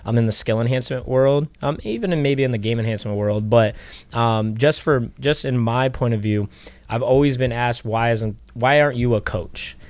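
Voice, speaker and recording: Loud, rambling man on a podcast. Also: medium pitch, moderate pace, no echo. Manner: loud, rambling with many fillers and restarts. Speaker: podcast co-host, basketball skills trainer. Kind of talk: casual podcast chat.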